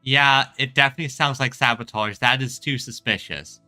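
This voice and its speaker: A game streamer giving casual, halting commentary, often restarting thoughts. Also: mid-range voice, pushed and bright, soft background music.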